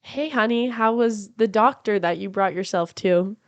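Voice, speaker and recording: A woman's voice: medium pitch, clean tone, no echo. Brash crude woman, improvising. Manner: brash, crude, quick jokes. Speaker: podcast guest. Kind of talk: improv comedy scenes. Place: house.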